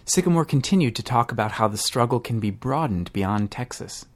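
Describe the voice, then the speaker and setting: A man's voice: medium pitch, lively intonation, fairly quick. A man hosting a podcast, reading scripted news narration. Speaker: podcast host.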